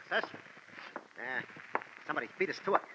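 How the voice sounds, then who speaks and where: Man playing fast, surly radio henchman, noisy recording. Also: fairly high pitch, lively intonation, muffled recording, natural voice. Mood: surly, insolent. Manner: slangy, ungrammatical, curt. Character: surly male henchman, insolent street tough. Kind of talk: 1940s radio crime acting.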